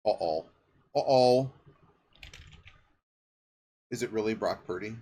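A man's voice: fairly low pitch, takes it slowly, warm tone, breathy edge. A man hosting a sports podcast, giving casual off-the-cuff football commentary and thinking aloud in a rambling way. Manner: rambling, thinking aloud, checking facts mid-sentence.

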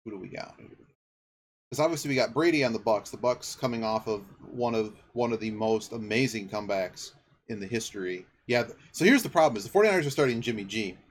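A man's voice: fairly low pitch, expressive, a little fast. Guy doing off the cuff football talk, podcast host, rambly, kinda checking facts as he goes, bit of noise behind. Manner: rambling, thinking aloud, checking facts mid-sentence. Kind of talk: casual off-the-cuff football commentary.

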